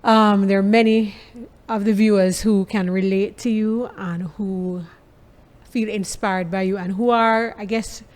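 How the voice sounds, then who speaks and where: A woman's voice: mid-range voice, steady tone, unhurried, a little mellow. A woman hosts an interview show, polite and encouraging as she guides the conversation. She thanks her guest and closes the episode. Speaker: interview host.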